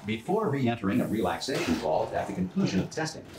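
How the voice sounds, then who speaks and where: An actor playing an unflappable corporate facility announcer in a video game, formal, crisp and blandly upbeat. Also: male voice, medium pitch, very animated, quick pace, echoey room, background noise. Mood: blandly official. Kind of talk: scripted pre-recorded announcement in a video game.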